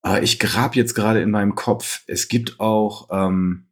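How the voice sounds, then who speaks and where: A man co-hosting a comic podcast, slightly hoarse from a cold, talking casually and searching for words. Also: on the low side, fairly even intonation, a little slow. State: slight cold, scratchy throat. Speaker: podcast co-host. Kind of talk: casual chat.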